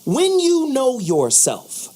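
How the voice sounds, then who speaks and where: A man hosting a talk show, emphatic and quick to agree with his guests. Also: very high voice, huge pitch swings, darkish tone. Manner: emphatic, lots of "mm-hmm" backchannel. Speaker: host. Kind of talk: radio talk show chat.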